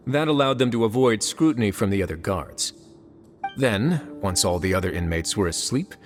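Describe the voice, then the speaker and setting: Game casting: a man as a calm warden laying out a theory, mid-range voice. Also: medium pitch, lightly acted. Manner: composed, deductive. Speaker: prison warden. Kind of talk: video game dialogue.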